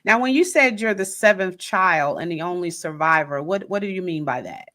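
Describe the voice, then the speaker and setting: A middle-aged woman hosting a podcast, interviewing a guest in an expressive, chatty way. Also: lowish voice, normal speed. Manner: expressive, animated storyteller. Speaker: podcast host. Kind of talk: conversational interview.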